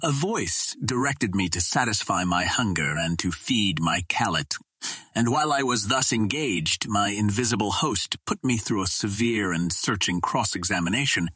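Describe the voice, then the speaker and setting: A man reading a classic adventure novel aloud as scripted narration, in a measured, formal storytelling manner. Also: fairly low pitch, expressive, pushed and bright, husky, dry recording. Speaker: audiobook reader.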